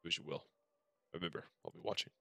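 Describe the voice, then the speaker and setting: Suspicious imperial guard from a game, read by a man, stern, deep, dry recording. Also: fairly even intonation, fast, gravelly, bright, forceful tone, no room sound, exaggerated voice. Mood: stern, warning. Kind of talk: reading game dialogue aloud.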